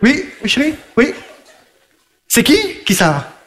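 Man voicing a boastful party guy, high bouncy voice, broken French, giggly rambling, bursts of shouting. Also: huge pitch swings, takes it slowly, background noise, full character voice. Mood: flustered, chatty. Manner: broken French, rambling, laughs through his words; sing-song chanting and sudden shouting. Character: boastful party-loving man juggling wife and mistress. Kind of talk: radio comedy sketch.

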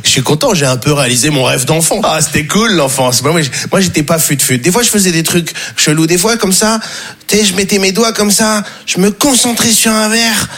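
A man's voice: sits high, fairly quick, fairly bright tone. A male stand-up comedian telling stories in a casual, slangy, self-mocking way, in a noisy live stage recording.

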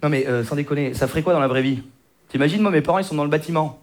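A man performing a quick, mocking stand-up set in a comedy club, slangy and acting out characters. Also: fairly high pitch. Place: comedy club stage with audience. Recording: live club with loud crowd laughter.

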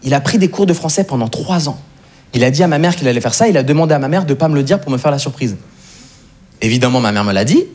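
A young Swiss man doing a stand-up set, animated and acting out the voices he quotes. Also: medium pitch, quick pace. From Switzerland. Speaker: stand-up comedian. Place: comedy club stage.

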